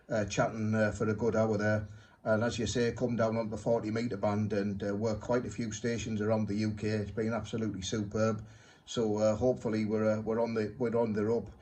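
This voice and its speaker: A man chatting as an amateur radio operator, speaking in short, halting pieces. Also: lowish voice, a little mellow. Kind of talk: unscripted ham radio contact chat.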